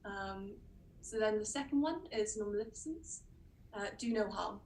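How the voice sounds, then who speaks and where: A woman's voice: mid-range voice, expressive, normal speed, darkish tone. A young British woman doctor with a cold, presenting on a webinar in a hesitant way, full of ums and restarts. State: sniffly with a cold. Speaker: doctor giving a webinar talk. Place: indoor room. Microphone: video call webcam. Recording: distant mic with faint background noise.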